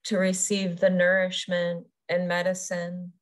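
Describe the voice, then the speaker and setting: Very slow, soothing woman guiding meditation. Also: lowish voice, completely flat delivery. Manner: soothing, unhurried, pausing between short phrases. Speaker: yoga nidra teacher. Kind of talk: guided meditation.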